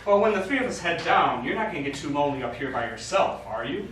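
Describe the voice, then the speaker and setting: An actor playing an eager, dreamy American astronaut in a stage play, with a dramatic and emphatic delivery. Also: male voice, a little high, very animated, quick pace, fairly bright tone. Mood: reassuring. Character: eager American male astronaut, dreamy, joking mission leader. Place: small studio theatre, recorded from afar. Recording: very echoey, noisy, distant stage recording.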